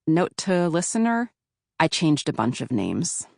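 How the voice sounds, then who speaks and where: A woman from Philadelphia narrates her memoir in a calm, measured voice that sits low in pitch. The sound is dry and close, with no room around it. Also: gravelly, no echo. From Philadelphia, USA.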